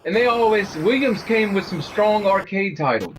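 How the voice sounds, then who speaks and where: A middle-aged man hosting a retro gaming livestream, talking loudly and chatting with his viewers. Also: high voice, expressive, moderate pace, faint music behind, low-quality recording. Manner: loud, chatty, talks to viewers. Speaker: livestream host. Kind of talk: casual gaming livestream chat.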